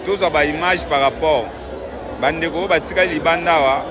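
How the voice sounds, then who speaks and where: A man's voice: fairly high pitch, fairly quick, dull audio. A man reporting from outside a crowded concert venue, urgently describing the scene. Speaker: on-site reporter. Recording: noisy crowd around the mic.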